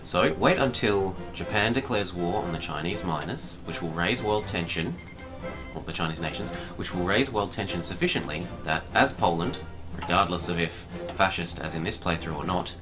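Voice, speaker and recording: A man narrating a scripted gaming tutorial in a formal, measured, precise manner. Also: low-pitched. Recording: music behind the voice. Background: music.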